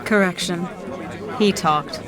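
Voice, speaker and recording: An actress playing a sullen, sharp-tongued daughter in a radio drama, curt and cutting. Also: female voice, fairly low pitch, slow pace, crowd noise.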